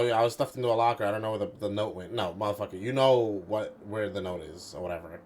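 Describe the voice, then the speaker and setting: A man talking casually and informally as a game streamer, swearing and thinking aloud through his theories. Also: medium pitch. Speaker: streamer playing a mystery game.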